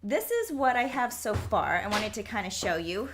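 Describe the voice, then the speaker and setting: A woman's voice: medium pitch, sing-song, normal speed, noisy background. Chatty woman demonstrating a garment. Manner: chatty, explains while working. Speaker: fashion designer. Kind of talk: casual chat while demonstrating. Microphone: shared microphone.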